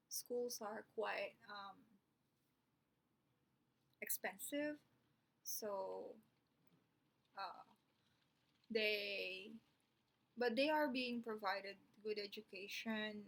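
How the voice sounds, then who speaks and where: A woman's voice: medium pitch, fairly bright tone, rough recording. A young woman from the Philippines with a Filipino English accent, explaining things in a chatty, rambling vlog full of ums and asides. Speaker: vlogger.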